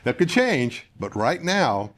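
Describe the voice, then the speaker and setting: An older American man from northern Utah, a guest candidate giving plain-spoken, conversational interview answers in measured phrases. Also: mid-range voice, big pitch swings, fairly bright tone. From northern Utah, United States. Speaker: political candidate, podcast guest.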